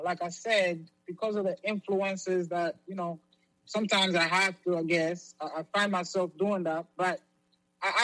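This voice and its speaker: A man from Zambia, a guest on a talk show, answering in a halting way with many restarts. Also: high-pitched, sharp tone, rough recording. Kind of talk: interview answers.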